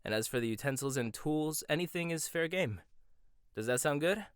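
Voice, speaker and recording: A man's voice: a little high, lively intonation, normal speed, smooth, no room sound, clean studio sound, close to an everyday voice. A young man playing a cocky, condescending rival chef in a boyfriend ASMR roleplay, teasing and smug, often laughing. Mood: bossy. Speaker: voice actor.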